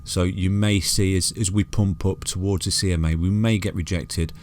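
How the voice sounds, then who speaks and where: A man's voice: low voice, steady tone, moderate pace, a little mellow. A man with a soothing voice walks through crypto charts in a casual, chatty way, calling listeners "guys" and "mate" and restarting phrases often.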